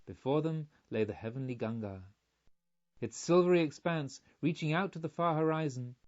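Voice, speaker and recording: A man reading a literary audiobook in a measured, formal way, on a slightly muffled recording. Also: a little high, a little mellow. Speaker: audiobook narrator. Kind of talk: literary scripted narration.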